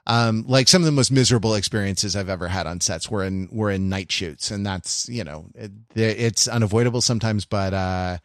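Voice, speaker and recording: A man's voice: fairly low pitch, fairly quick, hardly any pauses, dry recording. Dry-sounding man, rambling podcast talk. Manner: rambling, repeats and restarts phrases mid-thought. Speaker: podcast co-host. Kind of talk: casual podcast discussion.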